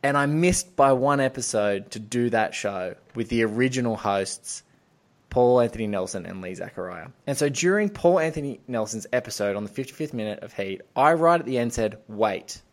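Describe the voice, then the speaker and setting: A man's voice: mid-range voice, animated, normal speed. A man hosting a film podcast in a giddy, effusive, emphatic way. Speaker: podcast host. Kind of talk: enthusiastic film podcast introduction.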